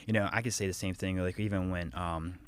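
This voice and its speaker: Low-voiced easygoing podcast host. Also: male voice, fairly even intonation, clear, resonant tone. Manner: easygoing, quick agreeing replies and follow-up questions. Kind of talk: casual interview chat.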